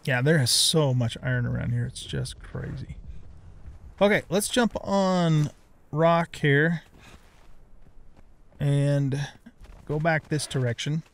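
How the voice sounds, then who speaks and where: Higher-voiced mature man commentating on a game. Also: takes it slowly, pauses often. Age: middle-aged or older. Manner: rambling, thinking aloud. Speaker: let's play streamer. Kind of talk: casual gameplay commentary. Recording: clear background noise.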